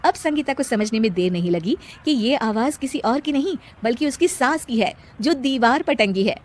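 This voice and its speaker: A woman with a fairly high voice tells a cartoon story. She delivers it as scripted narration, as a storyteller addressing her listeners. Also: expressive, normal speed.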